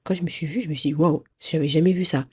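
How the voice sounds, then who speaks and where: Low, breathy woman with a stuffy nose. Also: fairly even intonation, fast, warm tone, dry recording, clean studio sound. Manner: chatty, addresses listener directly, many fillers and restarts. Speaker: podcast host. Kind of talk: casual advice podcast monologue.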